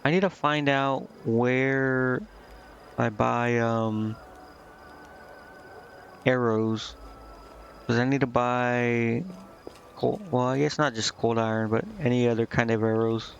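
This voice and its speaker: A man streams a game in a casual, rambling way, with a mid-pitched voice. He talks half to himself, hesitating as he goes. The microphone sounds a little dull and muffled. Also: steady tone, takes it slowly, gravelly, long pauses, slight background noise. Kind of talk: casual gameplay commentary, reading game dialogue aloud.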